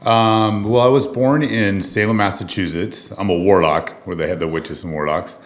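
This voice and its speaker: Deep-voiced man from Southern California, filmmaker guest, easygoing and filler-heavy storyteller. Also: normal speed. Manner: relaxed storytelling with many ums and uhs. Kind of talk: conversational interview answers.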